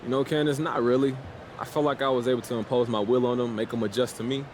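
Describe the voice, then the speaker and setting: An actor playing a cocky young American basketball player in a video game, talking in a breezy, slangy way. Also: male voice, mid-range voice, level tone, fast talker, a little mellow, a little hiss or hum, natural voice. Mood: self-assured. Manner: self-assured, breezy, slangy. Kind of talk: naturalistic game cutscene dialogue.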